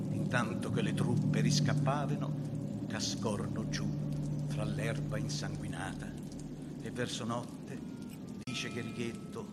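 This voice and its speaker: An actor playing a grieving Garibaldian veteran, reciting dramatic verse with a Roman accent in an impassioned way that swings between hushed and loud. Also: male voice, medium pitch, big-room sound, heavy background noise, lightly acted. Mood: hushed, sorrowful. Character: grieving Garibaldian veteran recounting a bloody battle. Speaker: actor reciting a dialect poem.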